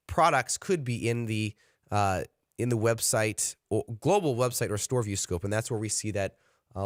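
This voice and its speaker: A man's voice: lowish voice, a bit bright, dry recording, clean studio sound. A man leading an online study session, earnest and encouraging, with frequent fillers. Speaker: study group leader.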